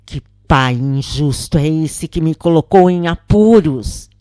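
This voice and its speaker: A tormented, desperate man, acted out by a Brazilian woman cries out in a dramatic audio narration. The delivery is loud, anguished and theatrical. The recording is very dry and close. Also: low-pitched, slow, dry recording. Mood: bitter despair. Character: desperate, tormented man crying out against God.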